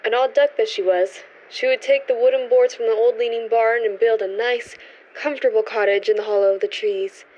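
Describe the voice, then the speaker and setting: A woman's voice: on the high side, steady tone, a little fast, a little mellow, dull audio, close to an everyday voice. A woman playing a reverent, mystical member of a witches' coven, telling stories in a radio drama. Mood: reverent storytelling.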